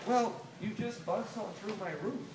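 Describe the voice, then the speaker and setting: A man's voice: high voice, unhurried. A man reading a storybook, voicing a sleepless young boy with puzzled, plain-spoken questions. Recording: roomy, distant, noisy phone-camera recording.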